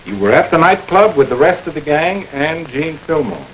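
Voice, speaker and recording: A man playing a firm, measured police investigator in a 1940s radio drama, recorded with old radio hiss. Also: a little high, big pitch swings, a bit bright, low-quality recording. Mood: stern. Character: stern middle-aged male police investigator. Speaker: police lieutenant.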